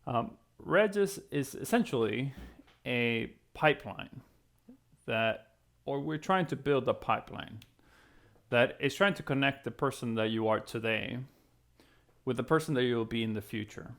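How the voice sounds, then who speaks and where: Guy in his 30s, creaky mid-pitched voice, explaining stuff to students in an encouraging chatty way. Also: measured pace. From Venezuela (Caracas). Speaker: physics professor and program co-founder. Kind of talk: explanatory talk to students, conversational.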